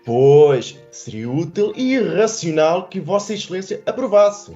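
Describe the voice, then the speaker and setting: A courteous, insistent town councillor in a radio drama. His voice is highish and very lively in pitch, with formal persuasion and a European Portuguese accent. The sound is a little dull, with faint music behind. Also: measured pace. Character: formal, reasoning male town councillor, deferential but insistent.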